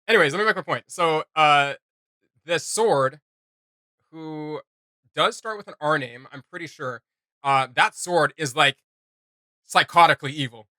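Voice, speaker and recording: Excitable man with a bright, dry voice. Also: a little high, unhurried, long pauses, dry recording. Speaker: reaction video host. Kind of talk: casual reaction commentary.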